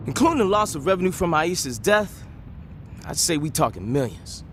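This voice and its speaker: A man's voice: a little high, sing-song, normal speed, fairly bright tone, a little hiss or hum. An actor playing a sarcastic, hotheaded male gang money man in a game cutscene. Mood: agitated. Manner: sarcastic and agitated.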